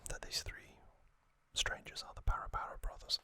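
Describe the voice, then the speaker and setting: An ASMR host speaking in a breathy, unvoiced whisper, giving a hesitant, off-the-cuff recap. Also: moderate pace, sharp tone.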